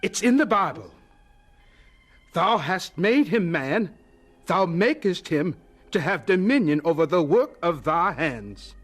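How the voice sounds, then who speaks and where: Eager, devout male professor for radio drama: high voice, swooping intonation, encouraging and chuckly. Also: sing-song, slow, fairly bright tone, faint music behind, heavily acted. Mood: pleased, earnest. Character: warm, eager, devout male college professor urging his class on.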